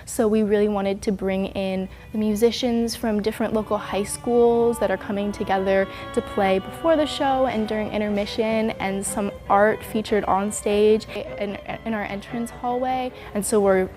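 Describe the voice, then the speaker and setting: A woman's voice: mid-range voice, moderate pace, few pauses, music in the background. A teenage girl who organized a student event, earnestly explaining it in a video interview. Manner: earnest, even-toned explaining.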